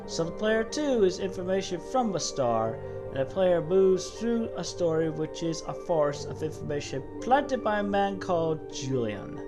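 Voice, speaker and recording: A woman voicing a solemn godlike storyteller, giving a measured, dramatic reading of game dialogue. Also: lowish voice, animated, music in the background, natural voice. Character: low-voiced godlike cosmic being, solemn storyteller.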